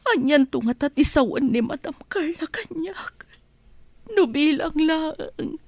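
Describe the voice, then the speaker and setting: A woman's voice: high voice, expressive, slow pace, darkish tone, dry recording, full character voice. A woman acting an emotional, deferential mother and household helper in a radio drama. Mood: troubled, reflective. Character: anguished, pleading mother who serves as a household helper.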